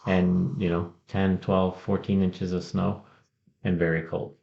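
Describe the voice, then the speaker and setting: A man from outside Chicago giving plain, unhurried answers as a guest in a casual chat. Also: sits low, level tone, creaky, dark tone. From outside Chicago, USA. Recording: roomy, dull lo-fi sound.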